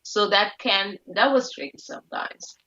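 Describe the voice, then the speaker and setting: A woman's voice: medium pitch, unhurried, bright, forceful tone. Papiamento-speaking woman, dull lo-fi sound. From Caribbean. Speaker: guest. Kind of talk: casual conversation.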